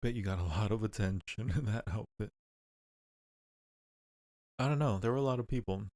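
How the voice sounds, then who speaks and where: A man's voice: lowish voice, normal speed, warm tone, no echo, clean studio sound. A man hosting a live game stream, chatting casually with music playing behind him. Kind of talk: casual live chat while gaming.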